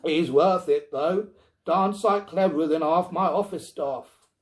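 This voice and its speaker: A man's voice: on the high side, measured pace, pitched above the performer's own voice, close to an everyday voice. A man playing a stubborn, dismissive husband and father in a storybook reading, blustering and emphatic. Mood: defensive.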